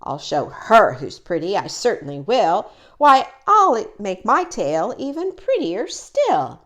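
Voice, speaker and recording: Woman voicing a jealous young girl bird, storybook, high and squealing, whiny, swooping pitch. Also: huge pitch swings, slow pace, a bit bright, heavily acted. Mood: gleeful. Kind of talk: storybook read-aloud.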